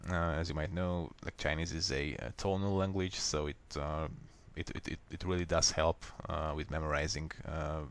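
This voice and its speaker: A man with a Hungarian accent, a native Hungarian speaker, calmly walking viewers step by step through a software fix in a screen recording. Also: extremely low pitch, clean tone. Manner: unhurried, plain, step-by-step explaining. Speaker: software tutorial maker. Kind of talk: screen-recorded how-to walkthrough.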